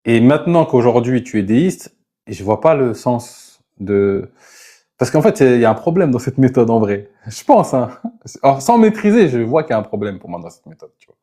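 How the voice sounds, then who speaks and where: Man, mellow dark voice, casual, questioning, tentative. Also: medium pitch, big pitch swings, normal speed. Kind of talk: casual live discussion.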